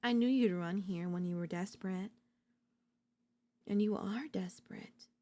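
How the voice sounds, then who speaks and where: A woman playing an obsessive, domineering yandere in a dark ASMR roleplay, seductive and smug, slow and teasing, slipping into whispers. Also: medium pitch, natural voice. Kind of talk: dark ASMR audio roleplay, intimate dramatic acting.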